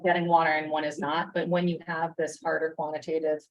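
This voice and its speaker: A woman's voice: low-pitched, little pitch movement, fast, highs cut off. A woman speaking as a panelist at a conference, explaining her work in a spontaneous, conversational way.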